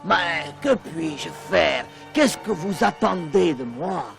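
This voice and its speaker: Lively high-voiced humble water seller. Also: male voice, takes it slowly, creaky, full character voice. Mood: kind, concerned. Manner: pleading, exclamatory. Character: humble, kind-hearted water seller, devout family man. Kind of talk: dubbed animated film acting. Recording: music behind the voice.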